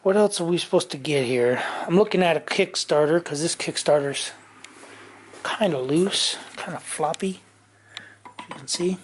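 A man casually talking through motorcycle parts in his home garage, rambling with many pauses and fillers, recorded on a handheld camera. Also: fairly high pitch, breathy edge. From California. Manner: rambling, many ums and restarts. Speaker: motorcycle vlogger. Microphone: handheld camera mic, off-screen.

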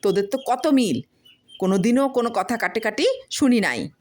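A woman's voice: fairly high pitch, very animated, moderate pace, a little mellow, voice pushed up. A woman voicing an inquisitive, sensible elder neighbour wife in a storybook reading, questioning in a familiar way. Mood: curious surprise.

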